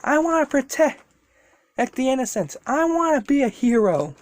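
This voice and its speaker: An amateur narrator voicing an earnest, idealistic young male hero, pitched high and pleading in a spoken fan story. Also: sing-song, normal speed, no room sound.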